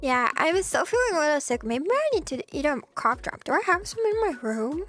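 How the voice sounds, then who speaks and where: Soft-spoken high-voiced young woman streamer. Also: very animated, a little fast. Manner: soft-spoken, chatty, giggly. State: slightly scratchy throat. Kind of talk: casual chat.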